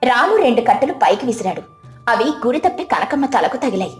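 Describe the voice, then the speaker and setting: A woman's voice: a little high, very animated, brisk, echoey room, soft background music. A woman reading a scripted moral story as its narrator.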